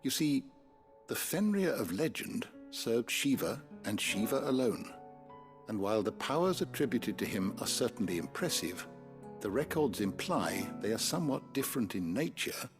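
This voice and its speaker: Game role for a male actor: courteous older British gentleman, learned and deliberate, lowish pitch, faint music beneath. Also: expressive, close to an everyday voice. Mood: pensive, courteous. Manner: formal, wordy, deliberate. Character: courteous, scholarly older gentleman, measured and pensive. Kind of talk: video game cutscene acting. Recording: soft music behind the dialogue.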